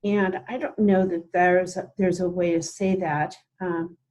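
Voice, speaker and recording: A woman's voice: fairly low pitch, level tone, measured pace, darkish tone. A woman speaking conversationally as a participant in a coaching role-play over an online call. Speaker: HR director seeking coaching.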